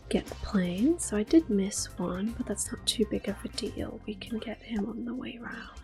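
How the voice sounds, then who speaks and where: A soft-spoken woman giving gentle ASMR gameplay commentary, often slipping into a whisper close to the microphone. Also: mid-range voice, vocal fry. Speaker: host of a gameplay series. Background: soft game music.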